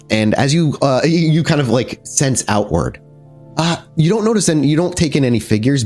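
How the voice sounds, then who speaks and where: A man narrating a tabletop horror game as game master, descriptive and halting as he searches for words. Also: mid-range voice, darkish tone. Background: background music.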